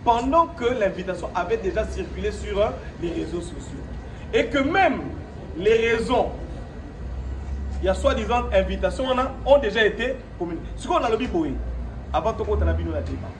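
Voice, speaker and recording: A Congolese man with a notably high voice speaks in an echoey room, some distance from the microphone. He delivers an improvised statement to the press, defiant and emphatic, hammering points by repetition and rhetorical questions. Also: lively intonation, normal speed. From Democratic Republic of the Congo. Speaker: speaker at his own press conference. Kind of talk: press statement mixing French and Lingala, partly improvised. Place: echoey room at his own premises. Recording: roomy, distant microphone, noisy background.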